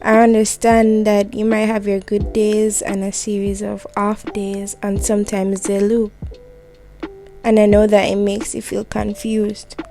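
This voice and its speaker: Woman, mellow dark tone, mid pitch, gentle and reassuring, intimate voicemail-style monologue, faint music behind. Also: completely flat delivery, a little slow. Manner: gentle, reassuring, intimate, addressing the listener directly. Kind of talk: heartfelt spoken monologue in the form of a voicemail.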